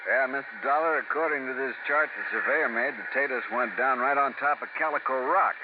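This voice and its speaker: A matter-of-fact boat captain played by a man from 1950s radio drama. He sounds official and plain, on a thin recording with clear noise. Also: mid-range voice, fairly quick, narrow, tinny audio, lightly acted.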